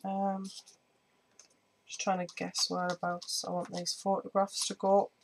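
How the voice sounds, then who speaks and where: A woman with a slightly croaky voice, chatting casually through a scrapbooking tutorial with lots of ums while she works at a craft table. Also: on the low side, monotone, unhurried, a little hiss or hum, poor audio. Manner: chatty, many ums, hands busy while talking. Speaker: scrapbooking vlogger. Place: indoor home craft table. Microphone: overhead camera on desk, speaker off screen.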